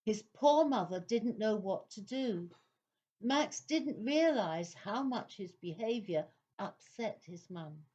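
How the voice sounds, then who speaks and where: A woman narrating a children's story from a script in a theatrical, deliberate manner. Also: medium pitch, darkish tone, husky, muffled recording.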